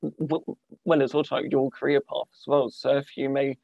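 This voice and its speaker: A man's voice: mid-range voice, level tone, brisk, gravelly, darkish tone, no echo. A young man hosting a podcast, talking hesitantly with many "like"s and false starts. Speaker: podcast host. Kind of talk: conversational interviewing. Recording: dull lo-fi dry sound.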